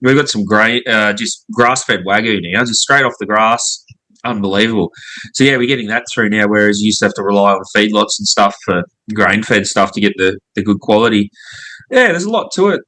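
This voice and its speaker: A man's voice: on the low side, fairly quick, sharp tone, low-quality recording. A man with an Australian accent chatting casually as an interview guest, laid-back and slangy. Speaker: pub owner, interview guest. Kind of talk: casual chat.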